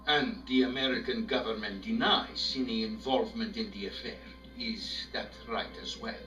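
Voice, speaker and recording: An actor playing a weary, stern Soviet leader in a video game cutscene, formal and deliberate, coldly pressing his points. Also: lowish voice, sharp tone. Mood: measured. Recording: echoey, distant game audio under music.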